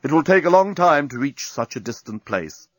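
A man voicing a smug, condescending male alien starship navigator, speaking in a slow, deliberate and formal way for an audiobook. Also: on the high side, very animated, no room sound. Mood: regretful. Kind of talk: audiobook character voice.

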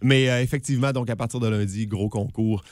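A man with a Quebec French accent speaks on a morning radio show in a dry, close studio sound. He talks in an upbeat, promotional way at a middle pitch, and his speech is full of hesitant fillers. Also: fairly even intonation, fairly quick, darkish tone, dry recording. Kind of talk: enthusiastic radio chat.

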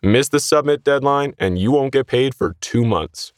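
A man's voice: medium pitch, animated, moderate pace, no room sound, studio quality, pitched above the performer's own voice, natural voice. A man voicing a smug, pushy male shift manager, condescending and insistent, in an audio story. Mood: threatening.

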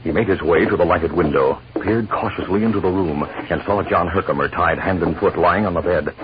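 A man narrating a 1950s western radio drama in a dramatic, deliberate manner. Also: low voice, background noise. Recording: dull vintage radio recording with music.

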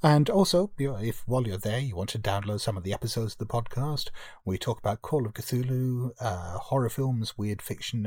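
A man running a tabletop horror game, narrating the scene in a measured, descriptive way. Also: medium pitch, darkish tone, dry recording, studio quality. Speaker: game master.

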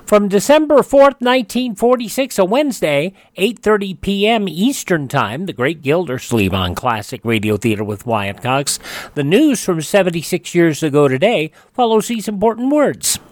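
A man's voice: high voice, expressive, normal speed, dry recording. A man hosting a radio show, reading scripted introductions and news in an announcer's style.

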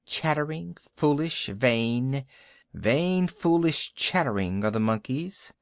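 A man voicing a huge, proud, scornful rock python in a storybook, with haughty, deliberate, archaic speech. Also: mid-range voice, animated, gravelly, dry recording, studio quality.

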